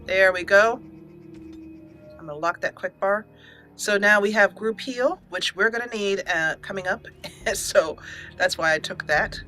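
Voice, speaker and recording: A woman's voice: mid-range voice, unhurried, pauses often, bright, forceful tone, soft background music. A woman hosting a gaming video, giving let's play commentary and roleplaying her character's lines.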